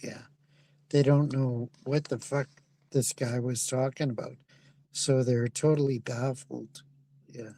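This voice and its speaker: Casual man with a medium-pitched voice. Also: steady tone, takes it slowly, long pauses, warm tone.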